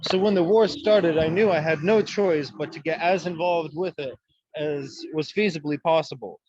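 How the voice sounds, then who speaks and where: A man's voice: on the high side, moderate pace, a little mellow, muffled recording. A young man from Ukraine whose first language is Russian, answering as an interview guest, hesitant with many fillers and restarts.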